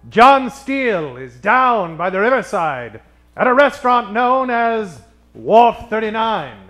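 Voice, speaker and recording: A man's voice: high-pitched, fairly bright tone. A man announcing and narrating in a theatrical old-time radio parody style.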